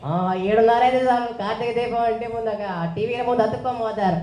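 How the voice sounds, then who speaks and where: Woman voicing a bossy, high-pitched puppet doctor, echoey. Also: little pitch movement, quick pace, dark tone, close to an everyday voice. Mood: teasing. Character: puppet doctor, bossy, stubborn, teasing, lecturing children. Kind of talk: live puppet show dialogue for a children's Sunday school. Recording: echoey room, faint noise.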